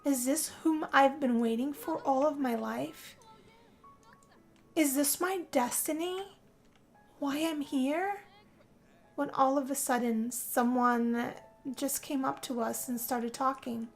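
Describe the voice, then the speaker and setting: High-pitched, creaky woman storyteller, slow and dramatic. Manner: dramatic, breathy sighing delivery. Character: lovestruck, weary young woman narrating her own story. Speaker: let's play narrator. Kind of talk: theatrical first-person role-play storytelling. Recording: music under the voice.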